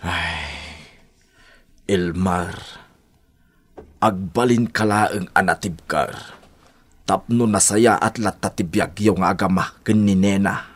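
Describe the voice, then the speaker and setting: A man's voice: sits low, takes it slowly, long pauses. A man playing a stern older father who gives grave advice in a radio drama. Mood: grave advising. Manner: grave, admonishing. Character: stern older father advising his grown son.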